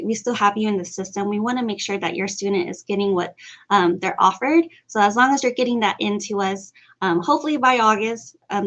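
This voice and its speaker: A woman's voice: fairly high pitch, a bit bright, muffled recording. A woman from a financial aid office answering webinar questions in a friendly, reassuring way.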